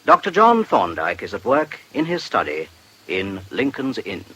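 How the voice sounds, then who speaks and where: A man's voice: fairly low pitch, wildly swooping pitch, unhurried, sharp tone, a little hiss or hum, low-quality recording. A man reading a scripted introduction as a radio drama announcer.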